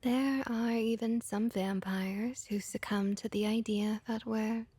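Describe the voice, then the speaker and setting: A woman playing a friendly, comforting vampire innkeeper in a soft-spoken ASMR roleplay, gentle, reassuring and often whispery. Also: on the high side, steady tone, takes it slowly, slightly rough, no echo. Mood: soothing, reassuring.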